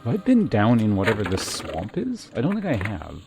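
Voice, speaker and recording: A man with a fairly low voice streams a video game, talking casually as he goes. He thinks aloud and mutters questions to himself. Faint-to-clear game music plays behind him. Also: wildly swooping pitch, fairly quick. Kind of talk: casual live game commentary.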